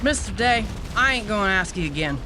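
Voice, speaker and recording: A woman's voice: medium pitch, lively intonation, brisk, background noise, natural voice. Woman acting a brazen, sardonic exorcist woman. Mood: menacing, smug. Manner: folksy, sardonic, drawling phrasing. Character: sassy, brazen woman exorcist, tough and foul-mouthed. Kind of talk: audio drama acting. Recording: music behind the voice.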